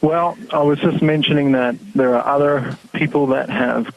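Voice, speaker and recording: A man's voice: on the high side, steady tone, gravelly, dull audio. A man from New Zealand with a mixed New Zealand and Australian accent, a guest speaking earnestly and rallying listeners in an interview. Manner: earnest, rallying, measured delivery with fillers. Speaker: guest, website founder. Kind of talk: casual interview conversation.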